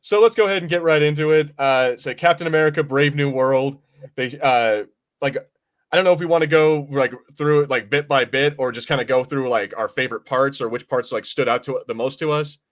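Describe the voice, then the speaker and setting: A man's voice: mid-range voice, brisk. A man hosting a podcast in casual chat, talking in an informal, rambling, self-correcting way. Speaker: podcast host.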